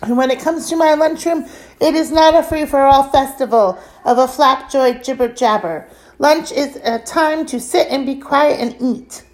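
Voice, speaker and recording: A woman voicing a gruff, strict male drill sergeant in a storybook, scolding children in shouted, clipped commands. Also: sits high, moderate pace, pitched above the performer's own voice, natural voice, rough recording. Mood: stern, scolding. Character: gruff, strict army drill-sergeant man berating schoolchildren. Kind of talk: acted storybook character voice.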